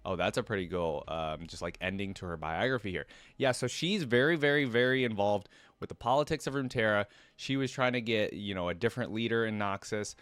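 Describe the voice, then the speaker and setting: A man's voice: fairly low pitch, brisk, few pauses, a bit bright, clean tone, no echo. A man hosting a reaction video, talking casually with many fillers and open enthusiasm.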